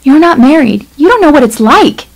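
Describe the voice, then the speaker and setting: An actress playing an exasperated, pragmatic middle-aged wife in a radio play, wry and insistent. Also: female voice, high-pitched, very animated, moderate pace, vocal fry, exaggerated voice, low-quality recording. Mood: pleading, insistent. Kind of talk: stage-style radio play acting.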